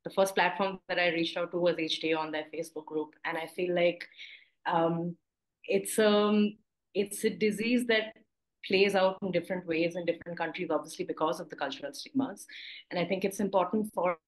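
Young woman from india, panelist on a video call, low-ish soft reflective voice. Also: steady tone, moderate pace, low-quality recording. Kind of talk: personal account on a panel. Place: home room with plants. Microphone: video call from a laptop.

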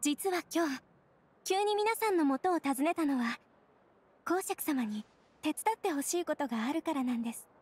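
A woman playing a gentle young bride-to-be in a game, speaking politely and deferentially. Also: high voice, animated, normal speed, a little hiss or hum. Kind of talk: game dialogue.

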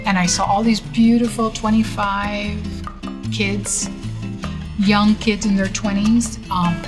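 A middle-aged woman from Puerto Rico, a writer, speaking in an interview in an office, with lively, expressive storytelling. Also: medium pitch, slow. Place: indoor office. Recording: music playing underneath.

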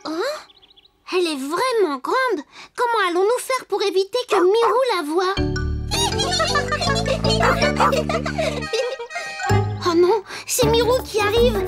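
A performer voicing a cheerful, kind little toy boy in a children's cartoon dub, earnest and clearly childlike. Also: very high voice, lively intonation, normal speed. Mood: urgent. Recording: music under the voice.

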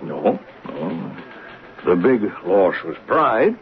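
An actor playing a thoughtful witness in 1970s radio drama style, musing and matter-of-fact. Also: male voice, sits low, sing-song, drawn-out words, vocal fry, heavily acted. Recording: tinny band-limited 1970s radio recording.

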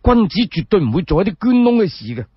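An upright official as a male storyteller plays him speaks firmly in a high, animated voice. He is stubborn and principled, stressing his words, with a husky grain to the sound. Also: fast talker, no echo, highs cut off, heavily acted. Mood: stubborn, righteous. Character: upright, stubborn gentleman official, refusing indignity.

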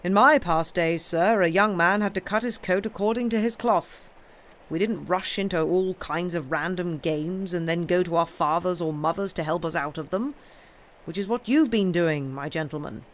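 Man voicing a gruff, stern old uncle. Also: high voice, normal speed, fairly bright tone. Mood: stern, reproachful. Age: older. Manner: gruff, blunt, lecturing, old-fashioned phrasing. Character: gruff, stern elderly uncle scolding his wayward nephew.

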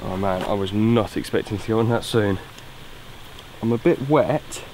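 Young british guy, low voice, casual fishing vlog outside, bit of noise behind. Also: normal speed. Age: young adult. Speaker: angler presenting a fishing video. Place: outdoors at a lakeside at night. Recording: clear background noise outdoors.

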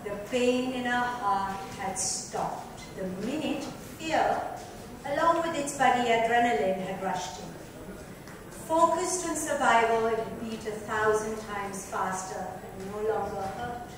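Female reader, fairly high voice, dramatic prose delivery in a very echoey room. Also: a little slow, crowd noise. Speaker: reader in a dramatic book reading. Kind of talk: dramatic literary reading. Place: club lounge, indoors. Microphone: far microphone. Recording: distant mic, very echoey noisy room.

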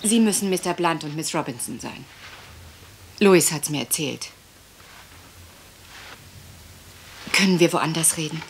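A woman's voice: low-pitched, normal speed, slight background noise. A woman playing a troubled, wistful lady of a manor house in a radio crime drama, polite and hesitant. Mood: anxious, polite.

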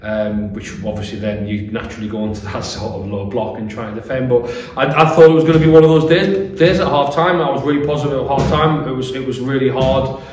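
A man's voice: medium pitch, fairly even intonation, a little fast, hardly any pauses. A British man in his thirties gives a post-match interview as a football manager. His phrasing is hesitant, with repeated words. The room is strongly echoey and he sits away from the mic. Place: small bare-walled room indoors. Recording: echoey room, distant mic, faint noise.

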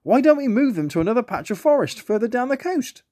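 Man doing an eager high little aeroplane. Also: sing-song, quick pace, warm tone, dry recording, studio quality. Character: young eager male aeroplane.

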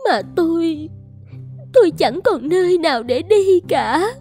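A lovesick young schemer in a cartoon folk tale. Her voice is very high and bright, swinging widely as she pleads and coaxes in a plaintive, coquettish way. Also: expressive, unhurried, soft background music, full character voice. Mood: tearful, pleading. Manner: plaintive, coquettish, emotionally dramatic. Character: lovesick, scheming young woman feigning helpless distress. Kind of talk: Vietnamese animated folk tale dubbing.

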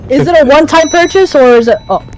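A woman chatting casually while playing a group horror game, excitable and jittery, full of exclamations. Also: high voice, quick pace, faint music behind, poor audio. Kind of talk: casual gaming chat.

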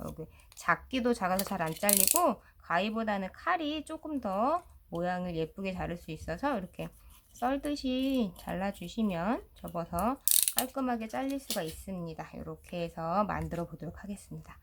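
A woman's voice: medium pitch, slight background noise. A middle-aged woman teacher giving a step-by-step craft tutorial in a polite, explanatory way. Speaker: children's storytelling teacher and video host.

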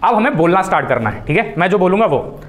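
High-pitched Indian man coaching a class. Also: sing-song, fast, heavy background noise. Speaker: voice and spoken English coach. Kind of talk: classroom instruction with demonstrations. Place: small indoor classroom. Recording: echoey room.

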